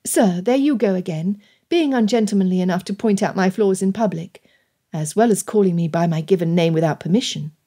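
A woman voicing a spirited, poised young gentlewoman in a period romance audiobook, formal yet candid and teasing. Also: mid-range voice, a little fast, natural voice.

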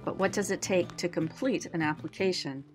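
Low-pitched woman narrating calmly. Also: music in the background. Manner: clear, measured, explanatory. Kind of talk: scripted narration.

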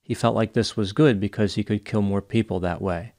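Thirties male educator, lowish pitch, even and clinical; reads a case analysis close on a boom mic. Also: creaky. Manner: measured, even, clinical delivery. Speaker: psychology educator. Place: small home studio, indoors. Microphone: close studio mic on boom arm.

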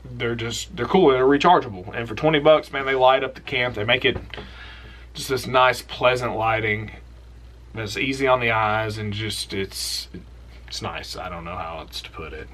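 Bright-voiced young man casually recommending gear. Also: mid-range voice, normal speed, slight background noise. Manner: relaxed, rambling, enthusiastic with trailing asides. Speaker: outdoors channel host. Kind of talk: casual product recommendation vlog. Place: small indoor room.

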